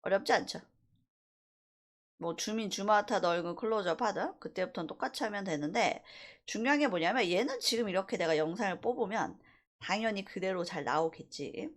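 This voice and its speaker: A woman instructor giving a casual software tutorial, talking through each step conversationally and checking in with short rhetorical questions. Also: mid-range voice, fairly quick. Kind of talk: casual screen-recorded software tutorial, thinking aloud while demonstrating.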